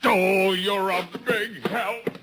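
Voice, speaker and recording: A man's voice: sits high, steady tone, extremely slow, heavy background noise. An actor playing a blustering, flustered American salesman in trouble, pleading his case in an old radio drama. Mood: indignant.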